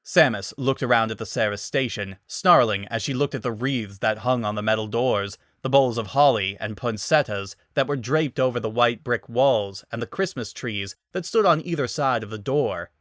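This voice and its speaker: A man's voice: medium pitch, normal speed, few pauses, a bit bright, dry recording. Man narrating dramatically, dry close sound. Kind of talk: dramatic scripted story narration.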